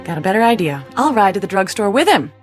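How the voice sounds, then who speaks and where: An actress playing a flamboyant, flirtatious movie-star aunt in a radio drama, gushing and theatrical with teasing endearments. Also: female voice, mid-range voice, sing-song, fast talker, soft background music, close to an everyday voice. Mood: playful, flirtatious.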